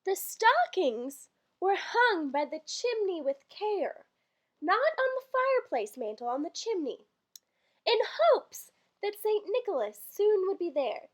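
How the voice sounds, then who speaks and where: A woman's voice: very high voice, big pitch swings, measured pace. A woman giving a theatrical, comedic reading of a holiday poem, dropping into dry asides between the dramatic lines. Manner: theatrical storytelling delivery broken by dry, quieter asides. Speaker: reader.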